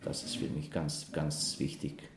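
A man in his fifties from Ukraine, a priest, speaking German with a Ukrainian accent in halting interview answers with frequent fillers. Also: rumbling low, level tone, a little hiss or hum. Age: 50s. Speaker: Salesian priest. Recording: roomy echo.

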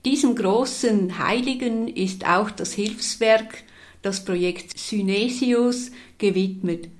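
A woman host giving a formal scripted introduction and then asking a guest questions in a measured way. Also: mid-range voice. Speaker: interviewer, host.

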